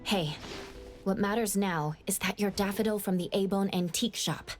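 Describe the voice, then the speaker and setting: A woman's voice: medium pitch, moderate pace, natural voice. A woman voicing an earnest, thoughtful young investigator in an anime-style game. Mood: pensive, concerned. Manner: earnest, reasoning aloud. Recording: faint music behind the voice.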